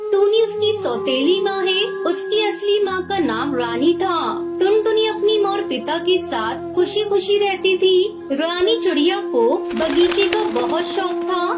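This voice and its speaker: Very high-pitched woman narrating a cartoon story.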